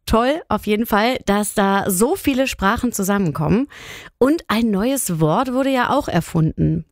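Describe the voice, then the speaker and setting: A woman's voice: medium pitch, animated, unhurried, no echo. A woman hosting a children's radio show from a studio, talking enthusiastically and directly to young listeners. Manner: enthusiastic, speaks directly to kids.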